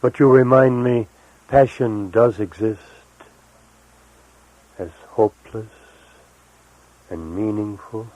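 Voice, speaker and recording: A man gives a poetry reading in a very deep voice. His pace is very slow, and he sets each phrase apart with deliberate pauses. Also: dark tone, low-quality recording. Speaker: poet. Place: live recorded reading, 1978.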